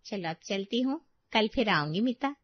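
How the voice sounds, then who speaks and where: A woman voicing a chatty, flattering middle-aged neighbour in a family story, teasing and familiar. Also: medium pitch, normal speed, highs cut off, natural voice. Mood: amused, chatty.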